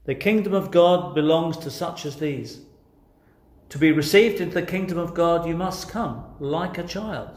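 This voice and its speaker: An English man delivers a sermon in an earnest, direct way. His voice sits a little higher than most men's. He addresses listeners with rhetorical questions, and the room around him adds a strong echo. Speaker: preacher. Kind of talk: sermon, expository preaching. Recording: echoey, reverberant room sound.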